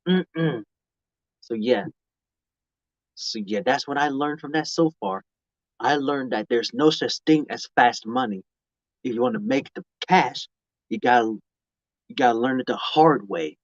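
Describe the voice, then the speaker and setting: A man's voice: mid-range voice, a little slow, pauses often, no echo, highs cut off. A man in his mid-twenties chatting casually to viewers on a live stream outdoors, rambling and often repeating or restarting his phrases.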